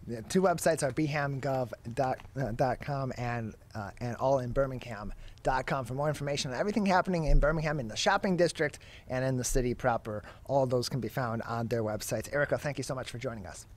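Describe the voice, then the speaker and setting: A man in his twenties hosting a broadcast interview from a studio on a boom-arm microphone. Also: fairly quick. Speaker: talk show host. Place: small TV studio.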